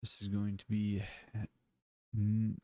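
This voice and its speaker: A man on a casual livestream, repeating words aloud as he learns, laid-back and unhurried. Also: fairly low pitch, completely flat delivery, a little mellow, no room sound, clean studio sound.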